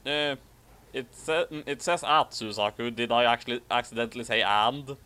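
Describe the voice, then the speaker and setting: A man's voice: medium pitch, moderate pace, pushed and bright, clean tone. A man hosting a commentary, reading a fan fiction aloud in an amused, casual way. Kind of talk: dramatic read-aloud mixed with casual commentary.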